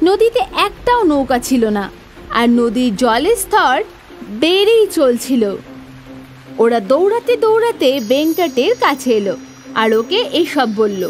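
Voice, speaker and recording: A woman's voice: sits high, very animated, measured pace, soft background music. A woman narrating a scripted children's story as the storyteller.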